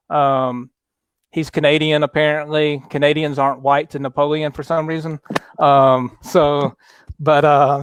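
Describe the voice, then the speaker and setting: A man hosting a casual sports chat show, talking in a relaxed, halting way with many fillers. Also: a little high, smooth, dry recording. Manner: relaxed, halting, lots of fillers, reads viewer comments aloud. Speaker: podcast host.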